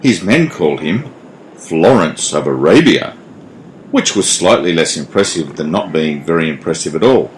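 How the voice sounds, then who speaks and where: Deep-voiced bumbling male narrator. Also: lively intonation, moderate pace, a little hiss or hum, poor audio. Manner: bumbling, self-deprecating. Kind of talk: comic story narration.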